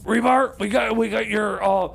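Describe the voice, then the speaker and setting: A man playing a bumbling, frazzled time machine mechanic in radio comedy, halting and stammering, with noise behind him. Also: high voice, fairly even intonation, a bit bright, full character voice. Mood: excited, flustered. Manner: halting, stammering, repeating words. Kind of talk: improvised comedy radio theater. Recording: clear background noise.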